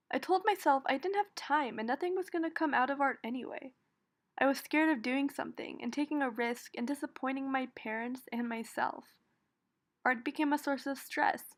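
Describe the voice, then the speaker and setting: A young American woman giving an earnest, prepared personal talk about her own story. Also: sits high. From United States. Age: young adult. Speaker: student speaker.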